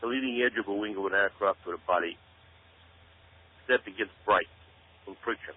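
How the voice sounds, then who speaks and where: Emphatic low-voiced man on tinny phone line. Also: brisk. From Israel. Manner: emphatic, repeats phrases for stress. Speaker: author and researcher, radio show guest. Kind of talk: conversational talk-radio commentary, explaining. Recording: tinny phone-like radio audio.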